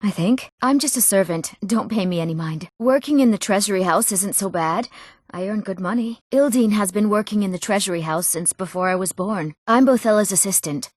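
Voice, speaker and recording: An eager young townswoman from a fantasy video game, played by an actress. Her voice sits at a medium female pitch and is expressive and clearly enunciated. The sound is close and dry, like a studio take. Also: brisk, no room sound, natural voice. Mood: chatty, unsure. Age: young adult. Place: recording studio.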